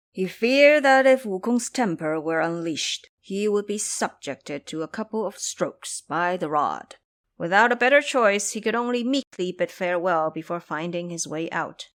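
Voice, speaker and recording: A woman narrates an audio drama in a scripted, dramatic style. Her pitch is mid-range and highly varied, lending the storytelling an animated delivery.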